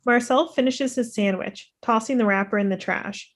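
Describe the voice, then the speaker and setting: A woman's voice: a little high, normal speed. A woman reading stage directions as scripted narration at a table read.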